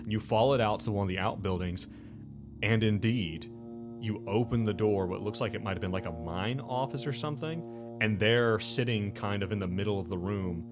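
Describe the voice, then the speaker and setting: A man running a tabletop game, narrating off the cuff in a halting, conversational, explanatory way. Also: on the low side. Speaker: game master. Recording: music behind the voice.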